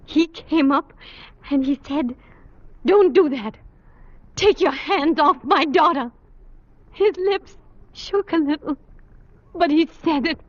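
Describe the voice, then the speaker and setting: A woman's voice: high-pitched, takes it slowly, long pauses, no room sound. An actress playing a fragile young woman in shock, rambling in a distracted, childlike way in an old radio drama. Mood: shaken, insistent. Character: fragile, dazed young woman in shock over her father. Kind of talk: 1940s-50s radio drama acting. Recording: dull old radio broadcast recording.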